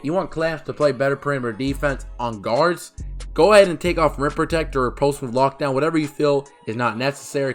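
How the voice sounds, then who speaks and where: A man talking through a video game build at a rapid clip, his voice hoarse and rough as it comes back from being lost. He sounds eager and casual, tossing in "man" as he gives his personal picks. His pitch sits in an ordinary middle range. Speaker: gaming YouTuber. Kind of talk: fast casual game walkthrough commentary.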